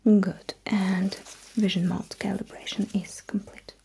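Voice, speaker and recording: A woman whispering breathily in an ASMR roleplay as a sci-fi technician, giving soft, reassuring instructions close to the microphone. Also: medium pitch, little pitch movement, measured pace, creaky, a little hiss or hum, low-quality recording.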